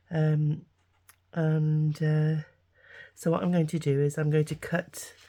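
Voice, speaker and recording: A woman, hoarse with a cold and gruff-voiced, hosting a casual crafting video and talking hesitantly with many ums while she works. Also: deep voice, warm tone. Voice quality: gruff, gravelly. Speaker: craft channel host.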